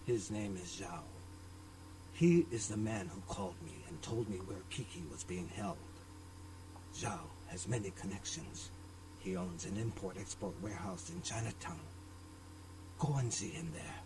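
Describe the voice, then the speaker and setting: A man's voice: lowish voice, expressive, far from the mic, background noise, lightly acted. An actor voicing a gracious, formal older businessman, a courteous father figure in a video game. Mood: solemn. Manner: formal, courteous, measured wording.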